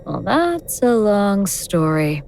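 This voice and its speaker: A woman playing a calm, menacing female elder god in a horror audio drama, composed, commanding and coolly mocking. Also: mid-range voice, takes it slowly, vocal fry, a little hiss or hum, full character voice. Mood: civil, mocking.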